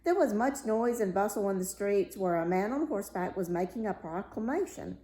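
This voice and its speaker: A woman reading a fairy tale aloud as narrator, in a measured, expressive storytelling manner. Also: mid-range voice, slight background noise. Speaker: story reader. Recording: roomy, echoing sound.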